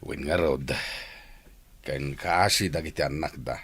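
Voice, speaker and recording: An actor playing a calm middle-aged village husband and father in a radio drama. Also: a bass voice, measured pace, slightly rough, full character voice. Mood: sympathetic. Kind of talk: Ilocano radio drama acting.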